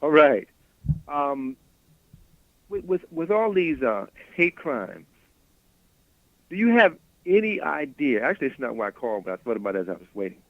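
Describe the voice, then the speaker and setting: A man's voice: mid-range voice, big pitch swings, normal speed, long pauses, no echo. A male caller rambles and stammers, repeating his words as he thinks aloud. His voice comes through a narrow, tinny phone line. The sound is dry.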